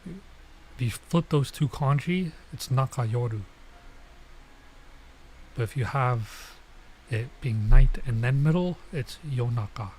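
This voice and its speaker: Young male streamer, relaxed and talkative, medium pitch; commentary over a visual novel. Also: slow pace, pauses often, slight background noise, poor audio. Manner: chatty, laid-back, lots of "like" and "bro". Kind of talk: casual let's-play commentary.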